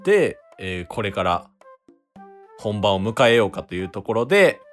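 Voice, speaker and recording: A man's voice: on the low side, big pitch swings, normal speed, faint music behind. A man with a Kansai accent bantering casually and comically as co-host of an improvised radio drama, readily giggling. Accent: Kansai Japanese. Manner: giggly, breaks into laughter often.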